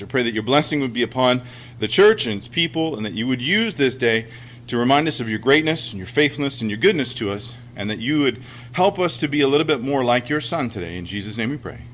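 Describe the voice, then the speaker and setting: A man's voice: mid-range voice, a bit bright, a little hiss or hum. Man praying earnestly in church. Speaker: pastor. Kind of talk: opening prayer. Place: church sanctuary.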